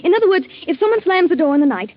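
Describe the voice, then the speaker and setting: An actress playing a suspicious, frightened bedridden wife in a 1940s radio mystery, intense and melodramatic. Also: female voice, very high-pitched, animated, racing. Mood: anxious, insistent. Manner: intense, melodramatic, tremulous when afraid. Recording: dull vintage 1940s radio recording.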